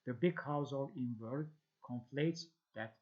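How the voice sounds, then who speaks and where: A man's voice: medium pitch, measured pace. Guy reading out an academic lecture, trips on long words, kinda muffled lo-fi recording. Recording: dull lo-fi audio.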